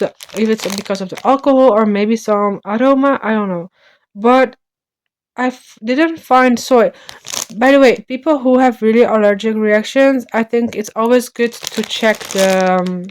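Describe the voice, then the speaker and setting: Smooth-voiced woman chatting. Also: fairly high pitch, a little mellow. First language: Slovak. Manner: chatty, rambling with many restarts and fillers. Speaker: lifestyle vlogger. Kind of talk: casual chat to camera.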